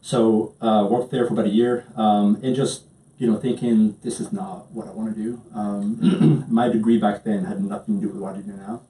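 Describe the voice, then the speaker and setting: French-accented man, low mellow voice. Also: steady tone, normal speed. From France. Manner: hesitant, many ums and restarts. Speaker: interview guest. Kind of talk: casual storytelling. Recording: roomy, distant mic.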